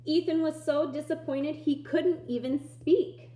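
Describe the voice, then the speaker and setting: Lady doing an expressive kids book read aloud, high voice, bit echoey room. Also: unhurried. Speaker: reader of a children's picture book.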